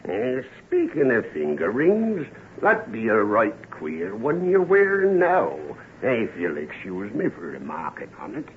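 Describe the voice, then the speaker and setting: An actor playing a gossipy West Country villager telling dark tales in an old radio drama. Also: male voice, mid-range voice, huge pitch swings, unhurried. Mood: gossipy, sly. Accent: English West Country. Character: gossipy rustic English villager, a man, full of dark tales. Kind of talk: old-time radio drama acting, storytelling. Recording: tinny, hissy old radio drama recording.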